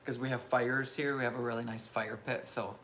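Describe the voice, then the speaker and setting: A man in his thirties with a loud voice, hosting a casual live question-and-answer chat and rambling with self-mocking humor. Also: mid-range voice, level tone, fairly quick, a little hiss or hum. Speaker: channel host.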